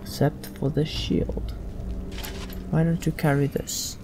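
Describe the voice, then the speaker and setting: Slow-paced man with a very gravelly creak. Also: a little high, little pitch movement, noisy background. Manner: measured, speaking as a fantasy party leader. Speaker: let's play host. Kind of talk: in-character roleplay game commentary. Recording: game music behind the voice. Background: fantasy video game music.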